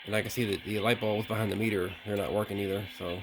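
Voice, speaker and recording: A man with a fairly low voice talks casually through a hands-on test. He sounds unscripted and a little hesitant, working things out aloud. There is light noise in the recording. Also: flat delivery, quick pace, vocal fry. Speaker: vlogger testing vintage CB radios. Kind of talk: casual hands-on demonstration commentary.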